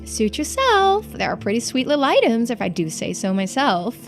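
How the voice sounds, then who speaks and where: A woman's voice: on the high side, big pitch swings, normal speed, soft background music. A woman voicing a brash, nonchalant young island woman in a video game, with a teasing, self-assured manner. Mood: breezy, cool.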